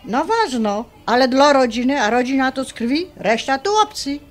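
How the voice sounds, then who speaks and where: A high, swooping female voice: a blunt highlander mother from a Polish radio play, old-fashioned and scolding, laying down the law in folksy dialect. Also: very animated, moderate pace, faint music behind. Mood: indignant. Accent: Polish highlander (Podhale). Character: bossy middle-aged mother, blunt, old-fashioned, pious. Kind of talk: radio drama acting.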